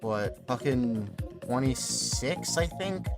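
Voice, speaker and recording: A man's voice: medium pitch, huge pitch swings, slow pace, vocal fry. A man in his twenties chatting casually and rambling as he streams a game, swearing now and then. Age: 20s. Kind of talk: casual livestream chat while playing. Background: game music.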